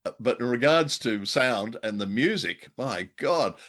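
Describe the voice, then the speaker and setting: A man's voice: mid-range voice, lively intonation, a little slow, fairly bright tone. A middle-aged or older man co-hosting a casual review chat, with a wry, droll, rambling way of talking. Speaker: co-host of a radio drama review show.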